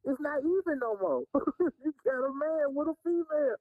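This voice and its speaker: A woman's voice: sits high, moderate pace, no echo, narrow, tinny audio. A woman co-hosting a podcast, blunt and emphatic, hammering her points home in a casual debate. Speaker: podcast co-host.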